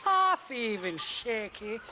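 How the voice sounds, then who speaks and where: High-voiced, drawling Irish rogue. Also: male voice, very animated, slow, background noise, heavily acted. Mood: sly, bantering. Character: drunken, wily, foul-mouthed Irish rogue. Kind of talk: video game cutscene acting.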